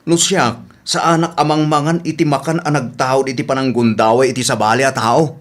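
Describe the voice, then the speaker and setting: A man's voice: mid-range voice, steady tone, brisk, natural voice. A man with an Ilocano accent playing an upright, reproachful grown son in a radio drama.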